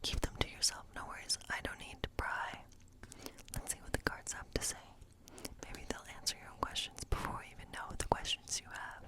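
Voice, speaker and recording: Whispering woman in a witchy ASMR tarot role-play. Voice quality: breathy whisper. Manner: unhurried, musing, reassuring. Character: friendly woodland witch reading tarot, gentle and curious.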